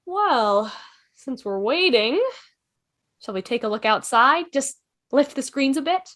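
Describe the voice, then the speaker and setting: A woman's voice: high-pitched, lively intonation, a little slow, a bit bright. A woman playing a clever, curious, chatty time traveller in an amateur drama table read, breezy and inquisitive. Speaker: table read performer and narrator. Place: online video call table read. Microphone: video call.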